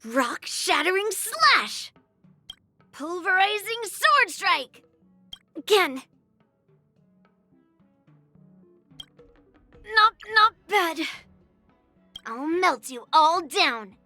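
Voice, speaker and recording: A woman voicing a spirited, confident young girl swordswoman in a video game, brash and expressive. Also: very high voice, drawn-out words, long pauses, no echo, faint music behind, heavily acted. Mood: fierce battle cries. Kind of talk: video game character voice lines.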